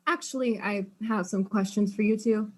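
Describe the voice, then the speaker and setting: A woman's voice: mid-range voice, fairly even intonation, normal speed, close to an everyday voice. A woman playing a polite, inquisitive detective in a mystery drama, questioning people in an earnest and slightly hesitant way. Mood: polite probing.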